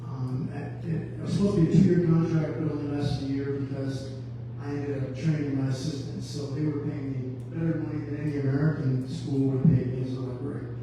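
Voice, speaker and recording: A man's voice: fairly high pitch, flat delivery, fairly quick, few pauses, mellow. A man introducing himself as a school board candidate at a public forum, talking in an effusive, rambling way that jumps from thought to thought. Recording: echoey hall from far off, faint hum.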